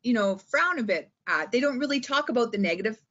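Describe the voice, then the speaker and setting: A woman's voice: on the high side, animated, quick pace, a bit bright, muffled recording. A woman speaking as a teacher in an informal instructional talk, with an emphatic, theatrical delivery that often checks in with the listener. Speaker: schoolteacher giving reading-aloud tips.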